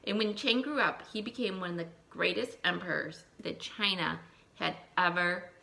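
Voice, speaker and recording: A woman teacher reading a picture book aloud to young children, expressive, thinking aloud and putting questions to her listeners. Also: lowish voice, moderate pace, vocal fry. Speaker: elementary school teacher.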